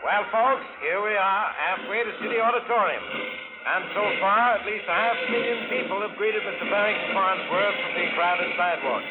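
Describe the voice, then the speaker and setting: A man acting in a 1940s radio drama as an excited radio commentator reporting live on a parade. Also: sits high, normal speed, close to an everyday voice. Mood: excited, triumphal. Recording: tinny vintage broadcast with loud music.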